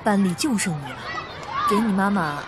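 A woman's voice: medium pitch, expressive, moderate pace, close to an everyday voice. A woman acting in a radio drama as a concerned schoolteacher looking after pupils. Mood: gentle. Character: concerned female schoolteacher minding her pupils. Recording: loud street and crowd noise behind.

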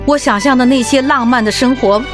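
An actress playing an older doctor's worried but supportive wife, chiding yet tender, in a radio drama. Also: female voice, sits high, brisk, close to an everyday voice. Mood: resigned disappointment. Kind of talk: Chinese radio drama acting. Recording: music bed behind the voice.

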